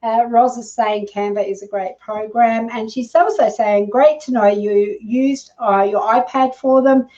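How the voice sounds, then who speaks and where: A woman's voice: fairly high pitch, fairly even intonation, measured pace, hardly any pauses, dark tone, far from the mic, dull audio. A woman hosting a live shopping stream, chatting casually and encouragingly as she reads out viewer comments. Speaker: live shopping show host.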